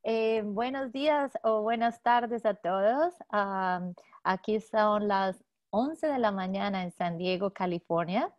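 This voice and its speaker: A woman mediator presenting a webinar lecture, speaking haltingly with many fillers and restarts over a video call. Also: mid-range voice, dry recording, highs cut off. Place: home office in San Diego.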